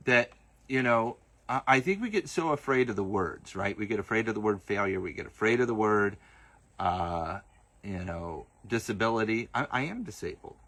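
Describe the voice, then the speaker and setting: Lowish, bright-voiced man with a soft Southern accent. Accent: Southern US, partly masked. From Southern United States. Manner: hesitant, many fillers and restarts. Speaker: host of a livestream. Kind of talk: casual livestream Q and A.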